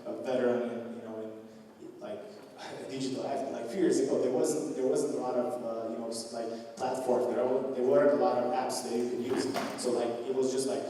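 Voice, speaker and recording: A man's voice: mid-range voice, flat delivery, fairly quick, warm tone, slight background noise. Young man talking in an echoey hall. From Romania. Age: young adult. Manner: loose, filler-heavy with many restarts. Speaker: conference speaker. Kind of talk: conference talk. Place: large conference hall. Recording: echoey hall recorded from a distance.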